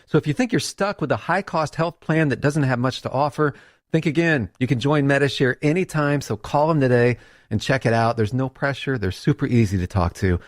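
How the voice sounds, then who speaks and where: A man's voice: mid-range voice, fairly quick, no room sound, studio quality. A man delivering a scripted radio advertisement in a conversational, direct-address style. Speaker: advertisement announcer.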